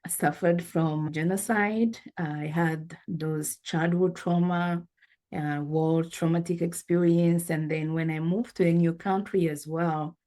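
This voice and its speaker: A woman's voice: on the low side, steady tone, dark tone. A woman in her forties from Rwanda, telling her life story as an interview guest in an earnest, reflective way. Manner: earnest, reflective, pausing with fillers.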